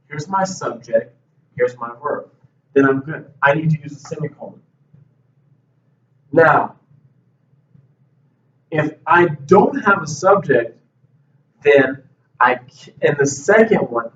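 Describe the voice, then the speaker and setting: A man teaching English grammar at a whiteboard, explaining slowly and patiently with frequent checks of "okay". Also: a little high, a bit bright. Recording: roomy, distant, dull classroom audio.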